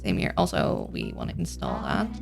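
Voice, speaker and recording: A woman with a Dutch accent giving casual gameplay commentary, rambling and thinking aloud. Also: normal speed. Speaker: game streamer. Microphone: streaming mic with voice filters. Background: game music.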